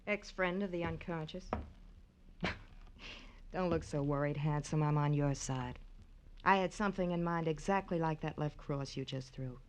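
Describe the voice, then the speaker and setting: An actress playing a flirtatious, cynical American dame in a 1940s radio crime drama, wry and teasing. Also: female voice, sits low, measured pace, long pauses, a little hiss or hum. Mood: flirty, amused. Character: brassy, flirtatious, cynical dame, cool under pressure.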